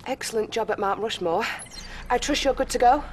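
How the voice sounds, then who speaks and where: An actress playing a young female military officer giving brisk briefings. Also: fairly high pitch, a little mellow, slight background noise, lightly acted.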